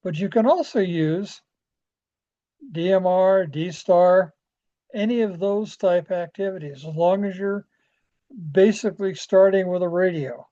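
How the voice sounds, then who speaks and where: A man giving an informal training presentation in a halting, folksy, plain-spoken way, with frequent hesitations. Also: high-pitched, mellow. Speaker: amateur radio club presenter. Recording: dull, lo-fi audio.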